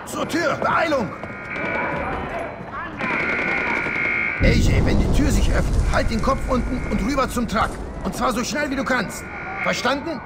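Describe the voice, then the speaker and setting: An actor playing an earnest, loyal rebel fighter in a German video game, speaking with firm urgency. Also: male voice, very high voice, animated, normal speed, over loud music. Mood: shouting orders. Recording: echoey room, loud background noise.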